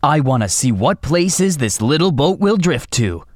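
A man's voice: fairly high pitch, sing-song, a little slow, a little mellow, dry recording, clean studio sound. A man playing a brave, lonely, steadfast toy soldier in a children's storybook. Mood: hopeful, wondering.

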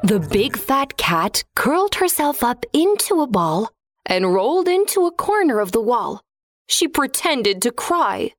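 A woman's voice: on the high side, big pitch swings, measured pace, dry recording, clean studio sound, faint music behind. A woman narrating a scripted children's story as a storyteller.

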